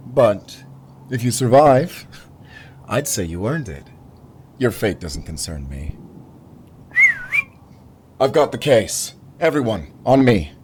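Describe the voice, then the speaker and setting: A man's voice: mid-range voice, sing-song, slow pace, pauses often, darkish tone, slight background noise. A man playing a cocky alien thief who leads a crew in a sci-fi audio roleplay, mock-polite and menacing. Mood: commanding. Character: cool, cocky male alien cargo thief leader. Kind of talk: audio roleplay science fiction acting.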